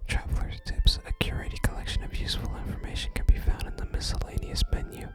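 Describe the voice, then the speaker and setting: A person whispering soft, unhurried ASMR commentary while playing a video game and reading out its text. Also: extremely high pitch, steady tone.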